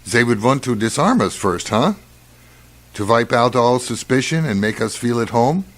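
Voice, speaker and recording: A man acting the role of a suspicious, brooding doctor on a space crew, deliberate and ominous in radio drama style. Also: medium pitch, expressive, natural voice. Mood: musing suspicion. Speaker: actor in amateur radio play.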